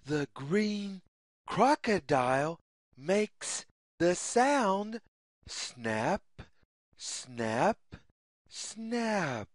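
A woman reading a picture book aloud for young children in a deliberate, sing-song way, in short separated phrases. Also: lowish voice, very animated, no echo, clean studio sound. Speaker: children's story reader.

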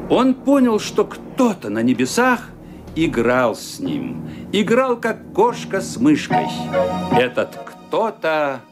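A man narrating a radio play with intense, expressive storytelling. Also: a little high, slow, over music.

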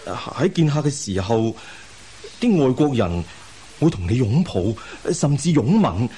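A man's voice: medium pitch, big pitch swings, unhurried, mellow. A man acting a scholarly middle-aged imperial envoy and placating husband in a Cantonese radio drama, with a Hong Kong accent, sighing and hesitant. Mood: awkward, persuading. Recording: hissy radio drama rebroadcast.

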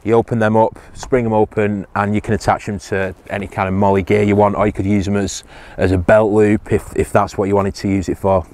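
A man's voice: lowish voice, flat delivery, a little fast, few pauses, a little mellow. A man, casually reviewing survival gear outdoors on a hillside, hesitant with many ums and restarts.

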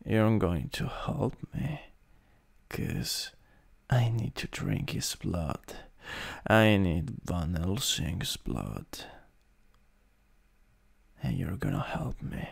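A man with an Italian accent playing a mean, sadistic vampire master in an improvised ASMR roleplay, husky and breathy with a menacing, theatrical manner that drifts into whispers. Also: low voice, very animated, heavily acted. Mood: coldly menacing. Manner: slow, menacing, theatrical, chuckling.

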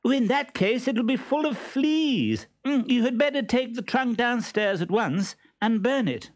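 A man reading a storybook aloud, voicing a bold, teasing young woman in a high, lilting voice. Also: expressive, measured pace.

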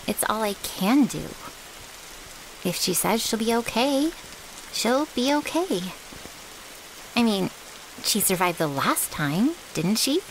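Brash, loyal female thrall, acted by a woman, cocky and casual, lively higher voice, unhurried, audio roleplay. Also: slight background noise, poor audio. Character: scrappy, brash, loyal young female vampire's servant.

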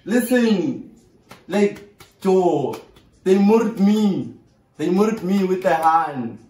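A South African man in his twenties making a casual, slangy prank phone call, animated, exasperated and pleading, with swearing. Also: high-pitched, takes it slowly. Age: 20s. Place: small bare bedroom indoors. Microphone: camera a short distance away. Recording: roomy echo, distant from mic.

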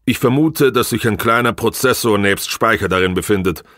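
Man playing a precise, low-voiced technical expert. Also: a bit bright, no echo, clean studio sound, lightly acted. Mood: matter-of-fact. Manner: measured, factual. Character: precise, matter-of-fact male technical expert.

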